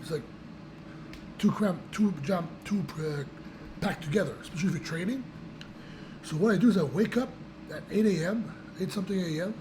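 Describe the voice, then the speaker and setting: A young man with a voice gone raspy from a cold, chatting casually to his viewers in a rambling way with many restarts and fillers. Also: high-pitched, normal speed, long pauses, background noise. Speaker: vlogger. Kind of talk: casual chat to camera.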